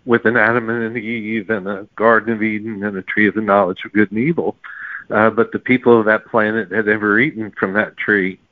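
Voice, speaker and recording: A man's voice: lowish voice, dry recording. Male interview guest, conversational storyteller, explanatory manner, dry narrowband audio. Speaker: author guest. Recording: narrow phone-like sound, dry.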